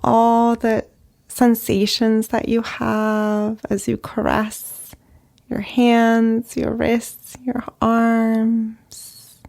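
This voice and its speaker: A woman leading a guided meditation as a therapist, speaking gently in short phrases with long pauses. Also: fairly high pitch, monotone, vocal fry. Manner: slow, gentle, pausing between short phrases.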